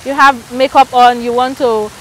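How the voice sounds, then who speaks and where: A woman's voice: high-pitched, fairly even intonation, moderate pace, slight background noise, poor audio. A Nigerian woman with a Nigerian accent, chatting candidly and with animation as an interviewee. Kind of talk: casual outdoor interview.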